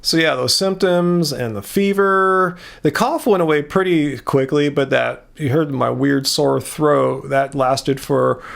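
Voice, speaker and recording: Earnest American man with a gravelly voice. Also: on the high side, measured pace, hardly any pauses, fairly bright tone. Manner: earnest and explanatory, with frequent restarts. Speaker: vlogger. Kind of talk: casual vlog talk to camera.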